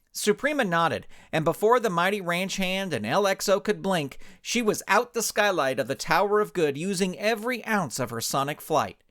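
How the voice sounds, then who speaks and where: Animated, high-voiced male storyteller. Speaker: author and narrator. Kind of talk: scripted story narration.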